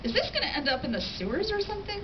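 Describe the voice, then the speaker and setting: A woman's voice: very high voice, sing-song, racing, pitched above the performer's own voice. A woman voicing a wry, wary, streetwise young woman with a sarcastic manner in a story reading. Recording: distant, noisy, muffled audience camera recording.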